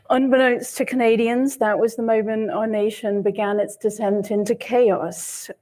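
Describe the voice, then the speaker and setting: A soft-spoken woman giving a prepared talk as a panelist. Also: mid-range voice, low-quality recording. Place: lecture hall.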